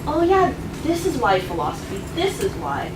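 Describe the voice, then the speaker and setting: Lively young woman student, distant echo. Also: on the high side, normal speed. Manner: hesitant, lots of "um" and "like", animated. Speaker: graduate student asking a question. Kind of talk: audience question at a lecture. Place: lecture room. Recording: echoey room, far from mic, noisy.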